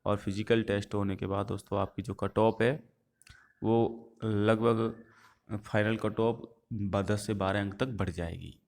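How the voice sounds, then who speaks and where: A man's voice: lowish voice, little pitch movement, measured pace, a little mellow. A man giving viewers an informational update as the host of an online video channel. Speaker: YouTube host giving exam news updates.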